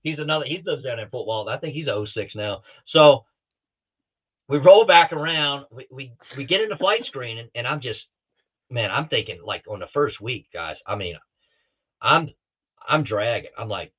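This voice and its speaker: A man's voice: medium pitch, moderate pace, husky. Rambling storyteller man on a tinny line. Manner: rambling, animated, with many restarts and acted-out quotes. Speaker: guest pilot telling stories. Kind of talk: casual storytelling on a talk show.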